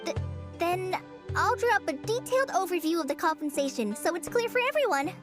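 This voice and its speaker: A small, diligent game character with an extremely high voice. The delivery is earnest and eager, bouncing up and down in pitch. Music sits clearly behind it. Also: female voice, lively intonation, a little fast. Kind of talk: video game character acting.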